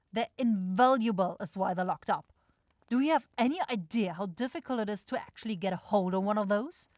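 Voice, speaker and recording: A woman's voice: medium pitch, a little mellow, no room sound, lightly acted. Woman voicing a grumpy, sarcastic demon shopkeeper, dry close sound. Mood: irritated, dismissive. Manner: blunt, irritable, exasperated, swearing. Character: grumpy, sarcastic demon goat-eyed shopkeeper sister, sharp-tongued. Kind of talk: scripted ASMR fantasy roleplay.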